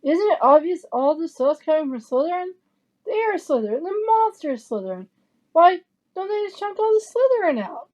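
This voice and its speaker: A woman playing an indignant young schoolboy in an audio drama, loud, insistent and excitable. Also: extremely high pitch, normal speed. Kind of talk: fan audio drama acting.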